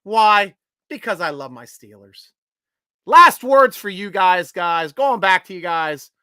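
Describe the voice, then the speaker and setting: Guy hosting a live sports show, bright punchy voice, dry close sound, jokey, loud shout-outs. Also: expressive, takes it slowly, clear, resonant tone, no echo. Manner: loose and joking, swings from loud shout-outs to low mutters. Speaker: host. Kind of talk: live sports show chat reading viewer comments.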